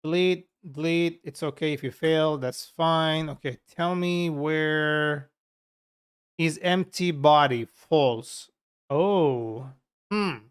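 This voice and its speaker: A man from Turkey with a Turkish accent, a software developer chatting frankly and with profanity to his viewers while coding live. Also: a little high, slow, smooth. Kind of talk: casual live coding stream chat.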